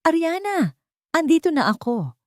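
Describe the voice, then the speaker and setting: A woman voicing a drunk, manipulative ex-boyfriend in an audio romance story, loud, desperate and demanding. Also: very high voice, huge pitch swings, moderate pace, dry recording, studio quality, pitched above the performer's own voice, heavily acted. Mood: drunken bravado. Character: drunk, menacing, manipulative ex-boyfriend, begging then raging.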